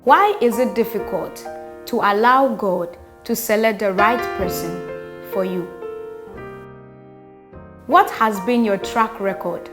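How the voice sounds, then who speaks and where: A woman's voice: medium pitch, slow, long pauses. A woman reading scripted, reflective questions as narration. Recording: piano music behind.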